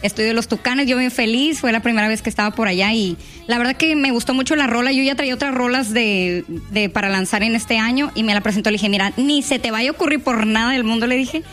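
Woman, slangy, laughing, higher voice, music under. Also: fast, hardly any pauses, a bit bright. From Mexico, Nuevo León. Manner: chatty, laughs easily, slangy. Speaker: singer guest. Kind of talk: casual radio interview chat.

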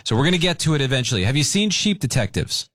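A man's voice: mid-range voice, very animated, fast talker, a bit bright, no echo, studio quality. A man hosting a radio call-in show, chatting with a caller in a playful, joking way. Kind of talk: casual radio call-in chat.

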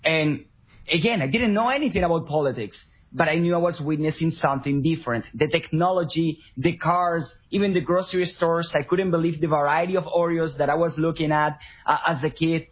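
A man's voice: a little high, brisk, husky. A man from Venezuela, a Spanish speaker, telling his own story as a talk show guest. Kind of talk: personal storytelling in an interview. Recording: dull lo-fi radio sound.

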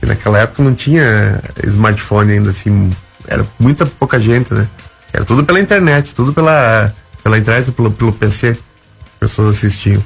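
A man's voice: on the low side, moderate pace, gravelly, breathy edge, faint music behind. A man from southern Brazil with a Gaúcho accent, telling anecdotes casually as an interview guest and acting out quoted lines. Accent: Gaúcho Brazilian Portuguese. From southern Brazil (Rio Grande do Sul). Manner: animated anecdotes, acts out quoted dialogue.

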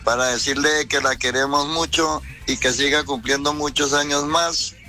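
A man's voice: fairly high pitch, steady tone, moderate pace, pushed and bright, faint music behind. A man calling a radio show to send a formal, courteous birthday greeting. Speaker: radio caller.

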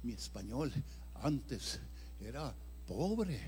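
An older male evangelist delivers a personal testimony from the pulpit. His voice sits at an ordinary pitch for a man. He moves from loud, emphatic bursts to hushed, almost whispered lines, and a faint hum sits under the recording. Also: big pitch swings, very slow. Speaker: evangelist preacher. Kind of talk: sermon testimony.

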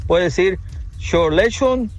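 A man's voice: high-pitched, animated, takes it slowly, faint music behind. A man leaving a joking voice message for a radio show. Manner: joking, laughing as he explains. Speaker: listener sending a voice message.

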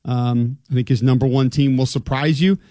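A man's voice: medium pitch, fairly even intonation, moderate pace, mellow, no room sound. Male sports talk host, emphatic and jokey, dry studio sound.

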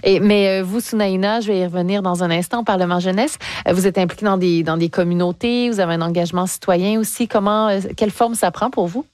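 A woman's voice: mid-range voice, fast talker, few pauses, a little mellow, no room sound. A woman hosting a conversational interview. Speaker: interview host.

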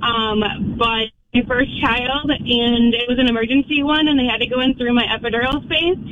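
A woman phoning in to a radio show, telling her story in an earnest, halting way. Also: fairly high pitch, fairly even intonation, a little hiss or hum. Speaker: caller. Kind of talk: phone-in story. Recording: muffled phone line.

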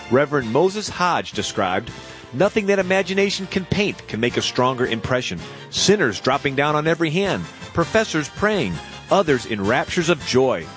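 A man narrates a short radio history segment in a scripted, dramatic way. His voice is somewhat high and moves a great deal in pitch. Soft music sits behind him. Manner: dramatic storytelling.

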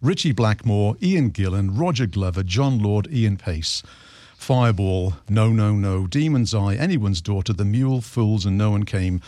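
An older man with a deep voice, hosting a casual radio interview and chatting enthusiastically about records. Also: animated, a little slow, warm tone, no room sound. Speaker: radio host.

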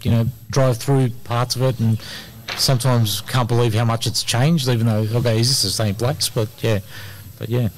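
Australian man, middle-aged, mid-pitched, energetic, filler-heavy, faint background hiss. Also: normal speed, poor audio. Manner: energetic, frequent ums and uhs. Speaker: immigration lawyer, interview guest. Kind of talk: casual radio interview. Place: community centre hall.

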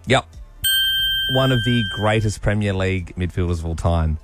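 A man co-hosting a breakfast radio show, running a quiz with enthusiastic, quick banter. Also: deep voice, over music. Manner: enthusiastic, quick-talking quizmaster. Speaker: radio co-host.

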